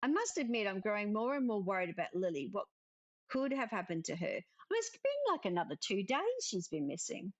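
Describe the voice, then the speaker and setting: A woman reading the part of a gentle, caring young witch in a cozy visual novel. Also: mid-range voice, sing-song, a little fast, no echo, highs cut off. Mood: worried. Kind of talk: visual novel character read aloud.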